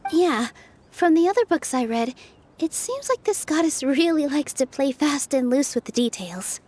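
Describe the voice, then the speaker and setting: A woman playing a gentle, earnest young dancer turned forest fairy in a video game, speaking politely. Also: high-pitched, sing-song, moderate pace, slight background noise. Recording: music playing behind the voice.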